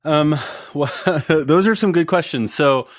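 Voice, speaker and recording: A man with a deep voice, a guest on a podcast, talking in an easygoing, jokey and self-deprecating way. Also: measured pace, no echo, clean studio sound. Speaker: podcast guest, retail consultant. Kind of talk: casual interview chat. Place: Houston.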